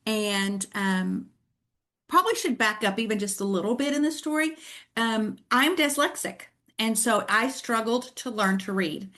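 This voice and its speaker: This is a middle-aged woman with a Southern American accent, speaking as a guest in a relaxed interview. She tells her story in an earnest, reflective way. Her voice sits at an ordinary, middle pitch for a woman. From Tennessee. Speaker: guest author. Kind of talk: casual interview chat.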